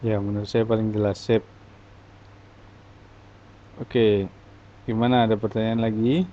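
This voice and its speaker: A man teaching an English class in a relaxed, casual way, answering student questions with lots of fillers. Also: lowish voice, a little slow, lo-fi sound, slight background noise. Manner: relaxed, explains with many fillers.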